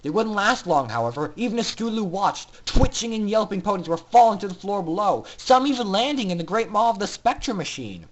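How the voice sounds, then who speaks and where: A man's voice: high voice, big pitch swings, fairly quick, hardly any pauses, a little hiss or hum, low-quality recording. A man narrating fan fiction in a theatrical, intense style, as a dramatic story reader.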